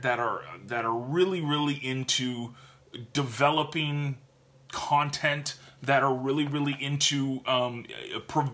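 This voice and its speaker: Thirties man, bright and forceful tone, chatty vlog reviewer who restarts and repeats words. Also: mid-range voice. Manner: animated, gestures a lot, repeats words while thinking. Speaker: book reviewer. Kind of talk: casual book review vlog. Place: home office with bookshelves, indoors. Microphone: webcam at desk.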